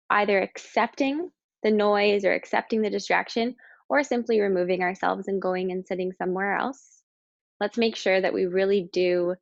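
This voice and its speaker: A woman leading a guided meditation, speaking calmly and slowly with pauses as she gives instructions. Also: mid-range voice, creaky, no echo, dull audio. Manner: calm, slow, instructing with pauses.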